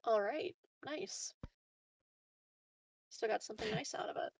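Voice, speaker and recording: A woman's voice: on the high side, animated, a little slow, gravelly, slightly rough, dry recording, recorded from a distance. A woman playing a tabletop game, giving short, easygoing, casual replies.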